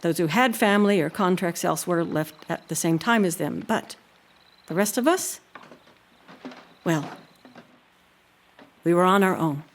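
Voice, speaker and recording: An actress playing an earnest, proud housekeeper who tells a haunting tale in a deliberate, expressive way. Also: female voice, on the low side, a little hiss or hum. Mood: earnest, guarded. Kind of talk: dramatic audio-drama storytelling.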